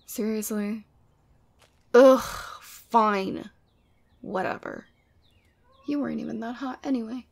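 Woman acting teasing demon girlfriend, creaky high voice. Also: slow, pauses often, exaggerated voice. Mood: defensive, sulky. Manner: casual, teasing, playfully exasperated. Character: teasing, sweet, clingy young female demon girlfriend. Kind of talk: ASMR roleplay audio drama.

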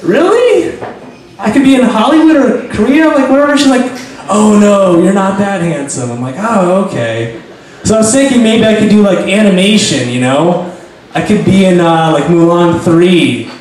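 A young man doing awkward, halting stand-up at an open mic, full of fillers and pauses, on a handheld mic in a small bar. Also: high-pitched, expressive, darkish tone. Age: young adult. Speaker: stand-up comic. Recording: echoey bar from far off, crowd. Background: bar crowd.

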